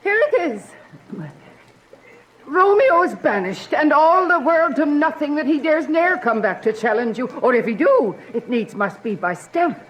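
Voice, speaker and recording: Woman acting gossipy older nurse, swooping animated voice. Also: sits high, measured pace, rough recording. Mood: coaxing, gushing. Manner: chatty, emphatic, exclaiming. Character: Juliet's gossipy, practical older female nurse. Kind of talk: theatrical Shakespearean radio drama acting.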